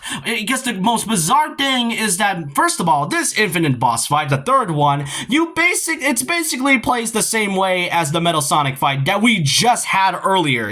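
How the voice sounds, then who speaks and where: A man with a fairly high, very bright and forceful voice talks through his opinions on a video game. He sounds conversational and critical, rambling along casually as a commentator would.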